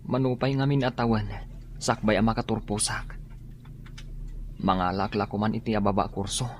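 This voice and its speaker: A man's voice: on the low side, level tone, normal speed, slight background noise, natural voice. Man acting a weary, sickly young student. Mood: weary, plaintive. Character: sickly, despondent young male student, dutiful son. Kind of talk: Ilocano radio drama acting.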